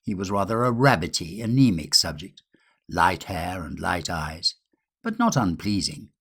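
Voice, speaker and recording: A man reading a literary ghost story in a measured, expressive way with dramatic pauses. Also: low voice, breathy edge. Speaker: audiobook reader.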